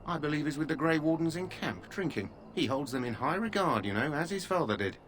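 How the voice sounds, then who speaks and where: A polite, over-talkative royal guard. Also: male voice, fairly high pitch, animated, brisk, a bit bright, a little hiss or hum. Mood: courteous. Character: loose-lipped male royal guard.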